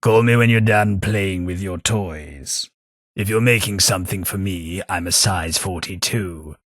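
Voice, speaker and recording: An actor playing a haughty, dry-witted old aristocratic ghoul in a video game, with a British accent, a raspy voice and a pompous, formal manner. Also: male voice, low voice, a little slow, no echo. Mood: dry, weary. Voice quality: raspy, gravelly. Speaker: video game companion character. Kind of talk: scripted video game voice acting.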